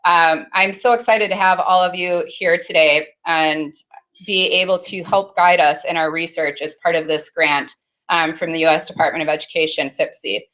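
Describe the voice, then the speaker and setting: A woman's voice: low voice, fairly even intonation, normal speed, fairly bright tone, muffled recording. A woman opening a conference workshop, chatty and casual with many ums, speaking into a handheld mic in a meeting room. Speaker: conference session host. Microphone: handheld mic with faults.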